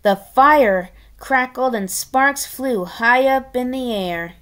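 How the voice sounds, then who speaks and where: Woman, high voice, slow pace, children's read-aloud, halting, casual asides. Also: fairly bright tone. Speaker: storybook reader.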